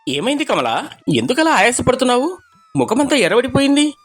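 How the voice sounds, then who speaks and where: A man playing a gentle, dutiful village son and brother in a dramatized moral story, earnest and pleading. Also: extremely high pitch, very animated, fairly quick, heavily acted. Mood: concerned.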